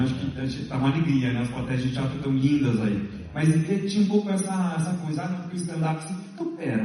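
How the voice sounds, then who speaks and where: A man's voice: on the high side, dark tone. A man, a playwright speaking at a press conference, thoughtful, reasoning aloud with small restarts. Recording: echoey hall, mic far off.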